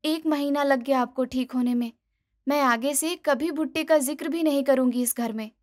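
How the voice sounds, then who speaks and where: Woman voicing a breezy, stubborn young daughter-in-law, high-pitched. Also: moderate pace, a little mellow, natural voice. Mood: remorseful. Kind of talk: cartoon moral story dialogue.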